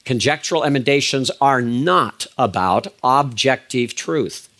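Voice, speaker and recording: Older man lecturing with measured emphasis. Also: medium pitch, lively intonation, fairly bright tone. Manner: measured, emphatic, with frequent fillers and restarts. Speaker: biblical Hebrew teacher. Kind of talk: recorded lecture.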